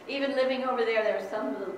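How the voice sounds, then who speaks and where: A middle-aged woman from the Philippines talks informally to a Sunday school class, chatty and given to laughing, restarting her phrases as she goes. She is picked up by a distant camera in a large church sanctuary, so her voice rings with long reverb. Steady background noise sits under her. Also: on the high side, brisk. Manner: chatty, laughs often, self-correcting. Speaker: guest speaker. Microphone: far camera. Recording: echoey church hall from far off.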